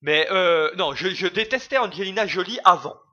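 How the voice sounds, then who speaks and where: A man's voice: high-pitched, expressive, normal speed, bright, forceful tone, highs cut off. A young man ranting casually in a video blog, rambling and heated, with crude slang and lots of fillers. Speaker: vlogger.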